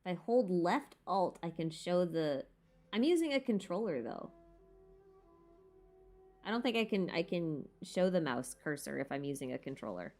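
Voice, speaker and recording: Musing woman with a slightly low voice. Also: sing-song, moderate pace, a little mellow, faint music behind. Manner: thinking aloud, tentative and musing. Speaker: streamer hosting a lore Q&A. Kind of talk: casual rambling livestream chat about game lore.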